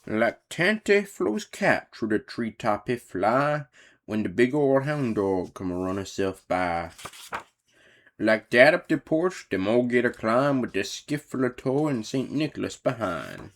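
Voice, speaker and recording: A man playing a folksy old Cajun storyteller, narrating a dramatic Christmas verse in a Cajun accent. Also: mid-range voice.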